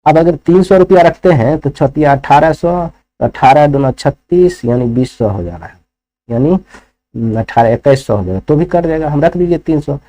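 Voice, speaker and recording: A man's voice: fairly high pitch, animated, normal speed, mellow, low-quality recording. A man teaching maths step by step, instructing and often checking understanding with rhetorical questions. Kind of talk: classroom lesson, working problems step by step.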